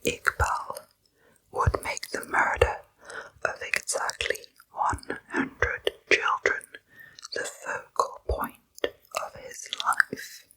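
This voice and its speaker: A woman narrating a true crime story for ASMR in a soft, slow, deliberate whisper, close to the microphone. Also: low-quality recording.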